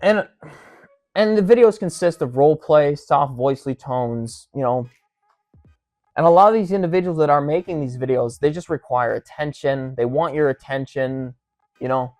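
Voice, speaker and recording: An online commentator delivers an opinionated rant straight to the listener at a medium pitch. The delivery is emphatic and pleading, circling back to repeat points for weight. Music plays behind the voice. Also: normal speed, a little mellow. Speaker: video creator giving commentary.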